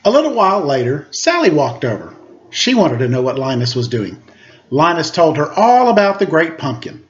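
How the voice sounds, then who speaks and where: A man reading a children's story aloud in a playful, expressive way, with casual asides. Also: on the high side, normal speed. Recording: slightly dull, lo-fi audio.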